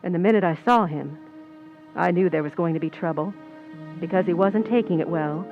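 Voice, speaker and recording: A woman's voice: on the low side, fairly quick, phone-quality sound, music in the background, close to an everyday voice. An actress playing a wry, cynical movie stand-in, narrating her own story in an old-time radio suspense play. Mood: sardonic. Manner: wry, knowing, sardonic.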